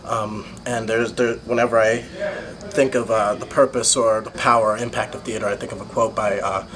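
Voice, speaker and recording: A man's voice: on the low side, flat delivery, normal speed, crowd noise. A young American man, a stage actor, speaking earnestly and hesitantly in an interview. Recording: noticeable background noise and room ambience.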